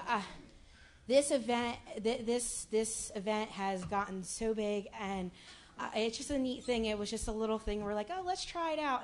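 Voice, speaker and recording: A woman with a raspy voice addressing a city commission meeting in a chatty way, with many fillers and restarts. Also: mid-range voice, fairly bright tone, a little hiss or hum.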